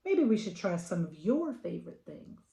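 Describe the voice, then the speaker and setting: A woman's voice: medium pitch, big pitch swings, normal speed, creaky, warm tone, reverberant, slight background noise. An American woman reading the lines of an adventurous, upbeat little girl in a children's storybook, plainly and emphatically. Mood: matter-of-fact.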